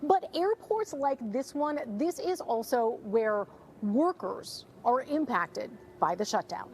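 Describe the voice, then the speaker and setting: An American woman delivering a live TV news report in a measured, clearly enunciated way. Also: on the high side, expressive, a little mellow, a little hiss or hum. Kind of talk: live broadcast news report, scripted narration.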